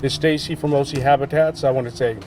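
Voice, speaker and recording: A man's voice: mid-range voice, fairly even intonation, fast, noisy background. Casual man emceeing an outdoor ceremony. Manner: casual, hesitant, with fillers. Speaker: event emcee. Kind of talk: introducing speakers at an outdoor ceremony.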